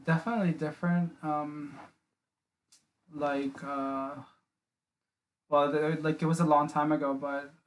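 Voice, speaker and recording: Young man from the Philippines, fairly flat. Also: on the high side, a little slow, pauses often, rough recording. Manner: rambling, many filler likes and restarts. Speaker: podcast guest. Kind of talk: casual interview chat. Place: small home podcast studio. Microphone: studio mic on boom arm, wearing headphones.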